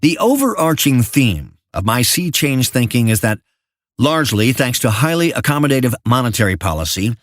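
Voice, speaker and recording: An older man reading a financial memo as scripted narration in a measured, formal manner. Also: mid-range voice, dry recording, clean studio sound.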